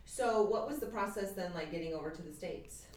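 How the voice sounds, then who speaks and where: Low-voiced woman hosting in a roomy office. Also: fairly quick, a little hiss or hum. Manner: warm, encouraging, many short affirmations. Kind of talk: casual interview.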